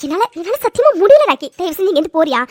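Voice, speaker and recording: Very high-voiced, fast-talking distraught young woman played by an actress. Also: big pitch swings, darkish tone, dry recording, clean studio sound. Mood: exasperated. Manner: pleading, repeating words. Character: distraught, defiant young office woman, normally easygoing. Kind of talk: emotional audio drama acting.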